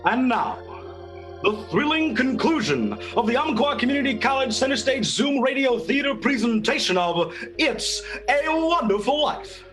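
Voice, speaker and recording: A man's voice: very high-pitched, big pitch swings, normal speed, a bit bright, faint music behind. A man narrating and announcing a radio play from a script.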